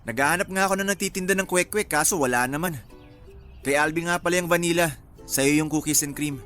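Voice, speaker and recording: An actor with a Filipino accent playing an easygoing, lightly teasing young boyfriend in a radio drama. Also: male voice, fairly high pitch, brisk, sharp tone, faint music behind. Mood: affectionate. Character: easygoing, devoted young boyfriend who teases his friend.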